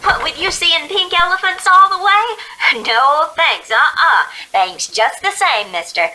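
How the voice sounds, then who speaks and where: A woman's voice: very high-pitched, lively intonation, a little slow, sharp tone, a little hiss or hum, full character voice, poor audio. A woman acting a sassy, blunt, fed-up young female hitchhiker in a radio drama. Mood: sassy, dismissive.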